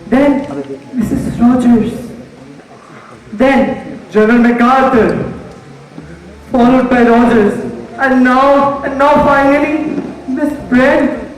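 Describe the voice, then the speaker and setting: A young performer plays a composed leader steadying frightened survivors in a stage mystery. The delivery is measured and declamatory. The voice carries from far off through a hall with long, heavy reverb. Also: mid-range voice, lightly acted. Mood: grave, deliberate. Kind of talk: amateur stage play acting. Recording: very echoey hall, recorded from far off.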